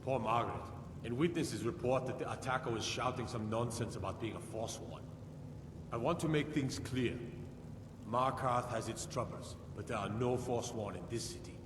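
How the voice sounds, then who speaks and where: An actor playing a proud, impatient lord in a fantasy video game, speaking formally and curtly. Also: male voice, on the low side, normal speed, natural voice. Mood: firm, authoritative. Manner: authoritative, curt, formal. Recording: echoing hall, distant, noisy game capture.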